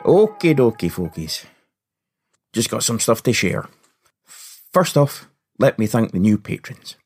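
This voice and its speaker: Podcast host: Scottish male storyteller, folksy and confiding, lowish husky voice with lively swings. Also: a little slow, faint music behind. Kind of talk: first-person story narration and announcements.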